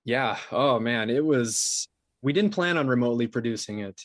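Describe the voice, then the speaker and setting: A man's voice: mid-range voice, expressive, normal speed, dry recording, clean studio sound. A young man from Brazil talking casually as an interview guest. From São Paulo, Brazil. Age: young adult. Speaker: podcast guest, composer and sound designer.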